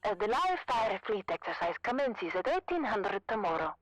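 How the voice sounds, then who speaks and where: A woman reading official news in a dystopian audio drama. Her mid-pitched delivery is formal with animated intonation. The sound is close and dry. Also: normal speed, no echo, low-quality recording. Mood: formal, polished. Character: female propaganda newsreader heard on a recorded broadcast.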